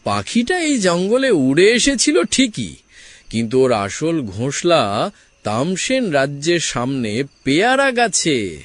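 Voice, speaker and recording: A man's voice: on the high side, wildly swooping pitch, measured pace. A man voicing a magical talking deer in a cartoon story, a wise, helpful guide with a mysterious, instructive manner. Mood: mysterious, kindly. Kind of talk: animated story character dialogue.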